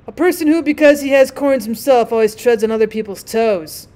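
Lady doing a dry sarcastic firework character from a storybook, blunt, highish voice. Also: a little fast, mellow, natural voice. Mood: dry, mocking. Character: blunt, sardonic Roman candle firework.

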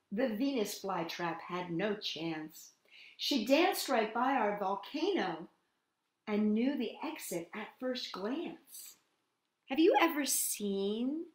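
A woman's voice: medium pitch, echoey room. A woman hosting storytime for young children, reading a picture book expressively and addressing the children directly with questions. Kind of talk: picture-book read-aloud for young children with asides and sing-song greetings.

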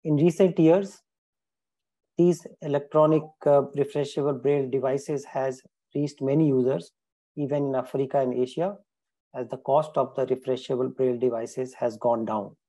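A man's voice: medium pitch, steady tone, normal speed, mellow, low-quality recording. A man giving an explanatory presentation as a webinar speaker. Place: indoors, webinar call.

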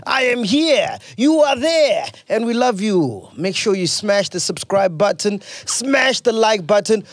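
A South African man in his thirties hosting a podcast in a studio, talking loudly into a boom-arm mic in lively banter. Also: a little slow, no room sound. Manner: loud, lively banter, reads sponsor lines. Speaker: podcast host. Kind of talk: casual chat. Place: studio with yellow backdrop.

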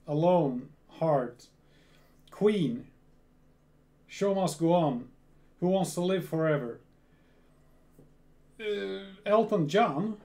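Slow-talking Swedish man. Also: on the high side, mellow. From Sweden. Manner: slow, repeats words, restarts. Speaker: reaction video host. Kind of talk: casual reaction commentary. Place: small home room full of gear.

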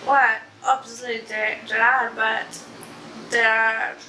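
A young Colombian woman speaks slow, halting English with a Spanish accent, often pausing to find words and laughing softly. Her voice sits fairly high. She is picked up from across an echoey living room with some background noise. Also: little pitch movement, far from the mic. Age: young adult. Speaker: interviewee. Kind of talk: casual interview in a second language. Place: living room, sitting on a couch. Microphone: camera across the room.

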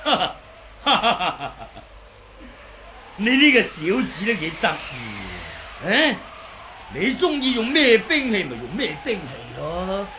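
An actor playing a boastful, wily, comical old martial artist in a Hong Kong Cantonese radio drama, chattering and teasing in drawn-out phrases. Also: male voice, sits high, wildly swooping pitch, background noise, full character voice. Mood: chatty, amused. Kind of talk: 1980s radio drama acting.

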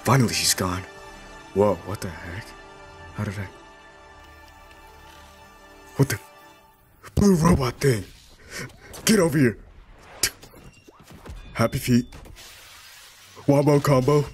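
A man improvising a comedic fan voice-over for a cartoon in a deadpan, goofy manner. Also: mid-range voice, unhurried, pauses often, faint music behind. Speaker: amateur fan dubber.

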